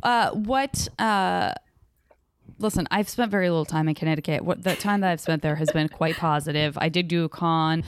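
A woman's voice: low-pitched, moderate pace, clear, resonant tone, no room sound. Chatty woman host, dry sound. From Arizona. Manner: chatty, rambling with many restarts. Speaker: podcast host. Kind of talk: casual interview chat.

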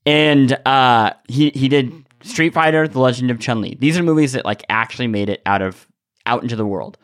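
A man's voice: mid-range voice, moderate pace. A man hosting a podcast in casual chat, telling stories in a rambling way with repeated words. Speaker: podcast host.

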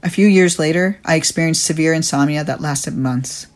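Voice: mid-range voice, moderate pace. A listener telling their personal story in a matter-of-fact way.

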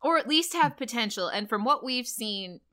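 Woman podcast host, real bright forceful voice, chatty and kinda incredulous, giggles easily. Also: on the high side, animated, moderate pace. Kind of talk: casual banter and commentary.

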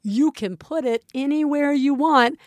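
A woman's voice: high voice, unhurried, no room sound. A woman co-hosting a podcast, reacting playfully and emphatically in casual chat. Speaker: podcast co-host.

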